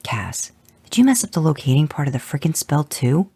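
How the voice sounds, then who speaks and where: A man reading fan fiction, voicing a brash, impatient male monster hunter in a gruff, hurried manner. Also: on the high side, big pitch swings, dark tone, dry recording, voice pushed up, full character voice.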